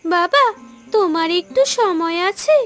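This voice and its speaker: Woman acting a very high-voiced gentle village girl. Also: lively intonation, a little slow, soft background music, exaggerated voice. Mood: pleading. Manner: earnest, reassuring. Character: gentle loyal young village woman in love.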